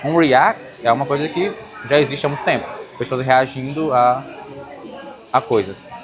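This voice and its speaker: A man's voice: medium pitch, moderate pace, crowd noise. A man with a Brazilian accent talking casually as an interviewer, explaining ideas and often checking that he is understood. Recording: music playing behind the voice. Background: music.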